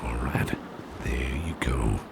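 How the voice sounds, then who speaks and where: A man playing a doting, protective businessman boss with a sly edge in an ASMR roleplay, gravelly and breathy at times, with genteel, old-fashioned phrasing. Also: rumbling low, steady tone, slow, very noisy, full character voice. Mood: courteous, attentive. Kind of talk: ASMR roleplay, soft personal attention.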